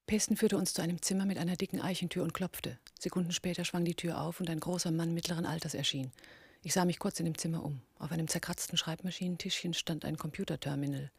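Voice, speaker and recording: A cool, observant woman tells a crime story in scripted first person. Her voice sits low and has a husky edge, in the manner of a 1980s radio play. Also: fairly even intonation, fast talker, no echo, exaggerated voice. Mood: composed, matter-of-fact. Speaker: narrator and character in a radio play.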